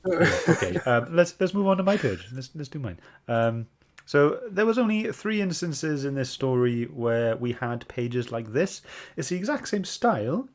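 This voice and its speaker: A man's voice: mid-range voice, sing-song, moderate pace. A man hosting a podcast, leading a casual book club discussion. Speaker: podcast host.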